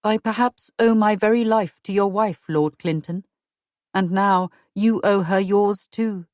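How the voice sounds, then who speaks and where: A woman's voice: medium pitch, a little mellow, no echo, studio quality, lightly acted. Woman playing a stern Tudor queen. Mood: commanding. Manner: commanding, measured royal speech. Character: stern middle-aged Tudor queen, imperious and formal.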